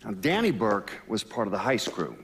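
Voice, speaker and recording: Low-voiced witness, measured, explanatory, documentary interview style, game cutscene. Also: male voice, very animated, a bit bright. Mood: matter-of-fact. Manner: matter-of-fact, explanatory.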